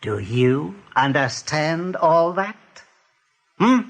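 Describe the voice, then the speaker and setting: An actor in a radio play playing a coldly authoritarian rabbit general, measured, formal and menacingly courteous. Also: male voice, on the high side, big pitch swings, gravelly, a bit bright, full character voice. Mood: commanding. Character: commanding male rabbit general, coldly authoritarian warren ruler.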